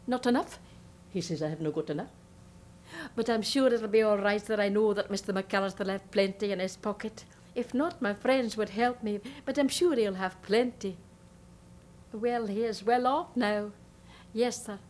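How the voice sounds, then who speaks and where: A woman's voice: mid-range voice, darkish tone, a little hiss or hum, natural voice. A woman playing a chatty, hopeful young Scottish immigrant bride-to-be with a Scottish accent, in a one-woman monologue. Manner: earnest, chatty, rambling.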